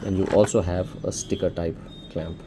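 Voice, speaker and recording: A man's voice: low-pitched, fairly even intonation, normal speed, noisy background. A man with an Indian accent casually presenting an unboxing for a shop, explaining things informally step by step. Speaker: shop presenter demonstrating a product.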